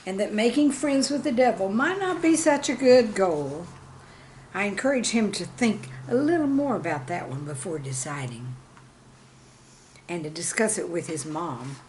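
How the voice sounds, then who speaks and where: A woman reading scripted stories and verse as a channel host, with a measured, deliberate delivery. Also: mid-range voice, expressive, slight background noise, poor audio. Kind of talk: scripted storytelling and poem reading.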